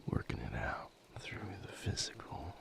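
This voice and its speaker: A man playing an intense, brooding vampire lover in an intimate audio roleplay, speaking in a gravelly, breathy near-whisper. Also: natural voice. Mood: tender, wound up. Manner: slow, intimate, emotionally intense.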